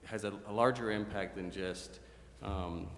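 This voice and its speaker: Man, flat intonation, public meeting explanation, echoey hall with faint noise. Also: lowish voice, a little slow. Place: council chamber.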